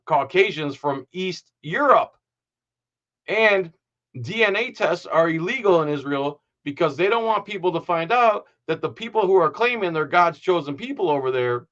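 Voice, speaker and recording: A man hosts a podcast in a casual, rambling way, with a sarcastic, indignant edge. His voice sits a bit higher than usual. The sound is muffled and lo-fi. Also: fairly bright tone. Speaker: podcast host. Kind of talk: casual political commentary.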